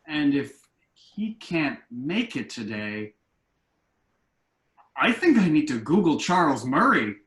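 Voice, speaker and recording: A man's voice: a little high, very animated, takes it slowly, pauses often, fairly bright tone, heavily acted. Guy playing a dry skeptical relative in a play read, echoey room, voice moves around a lot. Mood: dry, suspicious. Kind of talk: virtual stage play table read.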